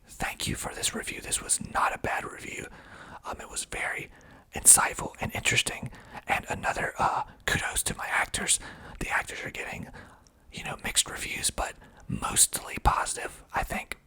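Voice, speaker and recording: A man speaking in a hushed, breathy, husky ASMR whisper, reading aloud and commenting in a deliberate way. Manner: slow, deliberate, hushed delivery. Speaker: filmmaker reading reviews of his movie.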